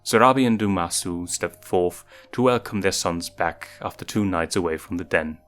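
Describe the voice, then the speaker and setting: A man's voice: deep voice, moderate pace, fairly bright tone. A man reading a story aloud as a scripted narration, with music behind him. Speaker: fan fiction reader.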